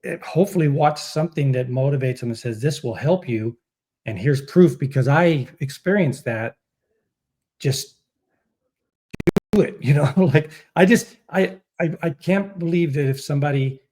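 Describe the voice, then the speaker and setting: A man hosting a casual interview, talking in a halting way and rephrasing in mid-thought. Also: a little high, warm tone. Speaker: interview host. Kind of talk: casual chat.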